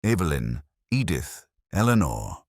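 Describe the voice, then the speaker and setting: A man playing an introspective older police detective, narrating a mystery audio drama from his notebook in a measured, literary way. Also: very deep voice, big pitch swings, gravelly, darkish tone, dry recording, studio quality, full character voice. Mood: grave, contemplative. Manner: brooding, measured, literary delivery.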